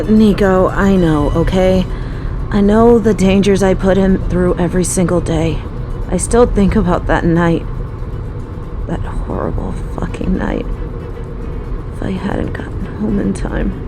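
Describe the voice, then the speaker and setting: Woman acting a slow-talking, possessive female mafia boss. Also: medium pitch, steady tone, music in the background, natural voice. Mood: grave, resolute. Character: possessive young female mafia boss, doting yet menacing. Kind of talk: scripted audio roleplay acting.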